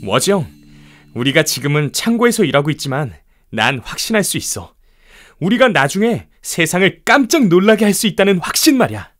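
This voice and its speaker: An ambitious, high-voiced young inventor. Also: male voice, big pitch swings, brisk, faint music behind. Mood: confident. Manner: earnest, emphatic, theatrical. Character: ambitious, confident young male inventor and entrepreneur. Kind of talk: children's audio storybook acting.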